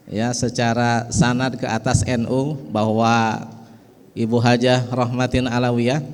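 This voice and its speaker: A man's voice: mid-range voice, darkish tone. Middle-aged man with a Betawi accent, reverberant hall. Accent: Betawi and West Java Indonesian. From West Java, Indonesia. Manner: folksy, with frequent "ya" asides. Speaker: speaker at an organization event. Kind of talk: speech at a ceremony. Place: large hall.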